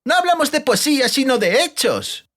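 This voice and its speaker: Man acting a young apprentice, emphatic, much higher pitch, fantasy storybook. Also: sing-song, normal speed, heavily acted. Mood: heated. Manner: loud, emphatic. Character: heated, insistent young male apprentice.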